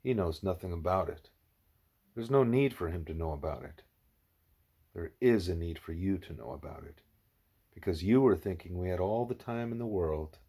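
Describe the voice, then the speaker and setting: A man voicing a grave, patient, wise animal teacher in an audiobook, speaking in a measured, formal, didactic way. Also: deep voice, animated, dark tone, slightly rough, exaggerated voice.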